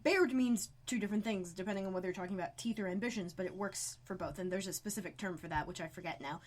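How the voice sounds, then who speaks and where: A woman's voice: mid-range voice, fast talker. A woman co-hosting a podcast, chatting casually with wry, rambling asides. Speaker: podcast co-host. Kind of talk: casual recap chat.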